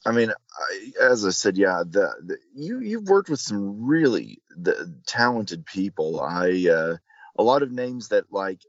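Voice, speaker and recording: A man's voice: on the low side, sing-song, measured pace, dry recording. A man hosting a casual interview, speaking hesitantly as an admiring fan, on slightly dull audio. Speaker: podcast host, interviewer.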